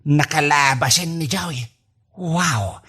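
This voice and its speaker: A man's voice: on the high side, takes it slowly, bright, forceful tone, slightly rough, heavily acted. A man playing a drunken, lecherous older husband with a slurred, rambling delivery and an Ilocano accent in a comedy radio drama. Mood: lustful, dazed.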